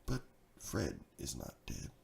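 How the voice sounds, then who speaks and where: A man reading a rhyming story theatrically, swinging between hushed and excited delivery, with a gravelly creak in the voice. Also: very deep voice, takes it slowly, a little hiss or hum. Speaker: narrator, storyteller.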